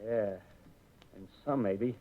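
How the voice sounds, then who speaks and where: Zealous American survivor, rough and insistent, vintage radio drama, narrow lo-fi broadcast sound. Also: male voice, on the low side, takes it slowly. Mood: bitter, excited. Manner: slangy, insistent, punctuating with "see?". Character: zealous, scheming soldier survivor, a rough-spoken American man. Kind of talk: 1930s radio drama acting.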